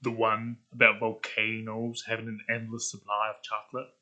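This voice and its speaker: A man voicing a dim, sheltered jock who is naive and curious, with a halting, dopey manner. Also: on the low side, completely flat delivery, sharp tone, highs cut off. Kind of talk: animated character script reading.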